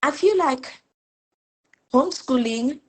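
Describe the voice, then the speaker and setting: A woman's voice: high voice, takes it slowly, slightly rough. A woman from Zimbabwe, a homeschooling mother, talking in a casual interview and telling her stories earnestly and with feeling. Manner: earnest, animated storytelling with repeated words.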